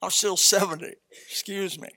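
Older male preacher, 70, high bright voice, folksy and blunt. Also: steady tone, normal speed, rough recording. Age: 70s. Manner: folksy, blunt, conversational with scripture reading. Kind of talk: casual sermon, Bible exposition.

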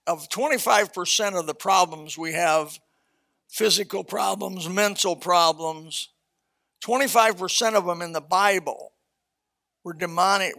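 Bright-voiced older man preaching folksy. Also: high voice, gravelly. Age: 70s. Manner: folksy, blunt, conversational with scripture reading. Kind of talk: casual sermon, Bible exposition.

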